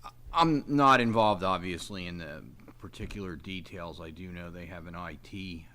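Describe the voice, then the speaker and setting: Low voiced guy, kinda sharp sound, bit of noise, hedging his answers in a committee meeting. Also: moderate pace. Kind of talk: informal meeting discussion. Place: town committee meeting room. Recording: noisy meeting room recording.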